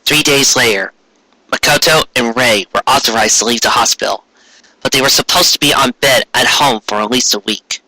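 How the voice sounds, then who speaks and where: A man's voice: medium pitch, normal speed, sharp tone, no room sound, poor audio. A man narrating fan fiction as a dramatic reading, now and then stumbling over the words.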